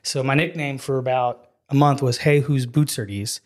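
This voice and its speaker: A man's voice: mid-range voice, moderate pace. Midwest-born man telling stories. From Wisconsin, US Midwest. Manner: animated storyteller, laughs easily, many filler "you know"s. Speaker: guest, ministry program leader. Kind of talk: casual storytelling in a podcast chat.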